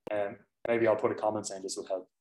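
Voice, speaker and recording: A young lecturer, a man with a somewhat low voice, chats his way through course details, correcting himself often and explaining with examples. The sound comes from a laptop mic with lots of echo from the hall. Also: little pitch movement, fast, darkish tone. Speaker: university lecturer. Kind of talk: informal classroom lecture. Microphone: laptop mic on the desk.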